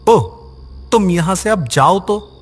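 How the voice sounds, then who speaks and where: A man's voice: high-pitched, animated, slow, breathy edge, exaggerated voice. A man playing an uneasy, puzzled traveler in a horror cartoon, speaking in a questioning, nervous way. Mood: irritated, puzzled. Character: uneasy, puzzled male traveler at night station. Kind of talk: Hindi animated horror story dialogue.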